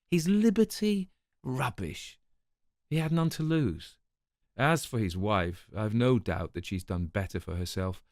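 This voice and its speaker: A man's voice: medium pitch, gravelly, darkish tone, no echo, studio quality. A man voicing a callous, haughty spymaster with formal, precise, disdainful phrasing in a dramatic story reading. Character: callous, haughty male spymaster, coolly dismissive.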